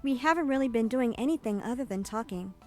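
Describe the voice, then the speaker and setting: Actress as young female love interest, higher-pitched, indecisive, visual novel game, light music behind. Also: animated, fast, lightly acted. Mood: apologetic, hesitant. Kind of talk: visual novel character acting.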